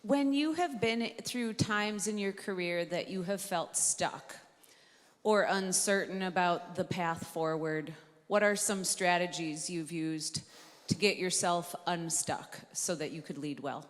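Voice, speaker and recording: A woman's fairly low voice rings with long hall reverb. She is an audience member putting a careful, fully worded question to a conference speaker. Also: measured pace.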